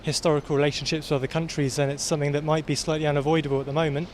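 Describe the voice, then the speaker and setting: A man talks briskly and very fast in a fairly high voice, sounding mildly incredulous. A faint traffic hum carries from the street behind him. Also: flat delivery. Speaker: passer-by. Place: outdoors on a city street.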